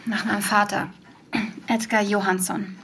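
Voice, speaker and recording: An actress in her thirties playing a curious young woman who gently coaxes a witness with probing questions in an audio drama. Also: mid-range voice, little pitch movement, unhurried, very noisy, close to an everyday voice. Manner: probing, gently coaxing, sometimes whispering asides. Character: curious young woman searching for a lost tape. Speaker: podcaster interviewing a witness.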